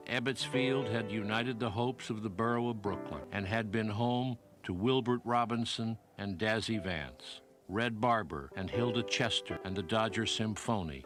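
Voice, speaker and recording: Low-voiced male narrator over music. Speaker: documentary narrator. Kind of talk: scripted historical narration.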